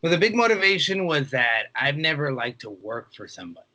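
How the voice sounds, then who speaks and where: A man's voice: on the high side, big pitch swings, fairly quick, pushed and bright, rough recording. A man in his twenties giving a casual interview, telling stories informally and quoting his own thoughts. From United States, raised there from age three after birth in Mexico. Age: 20s. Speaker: interview guest, reseller. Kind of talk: casual chat.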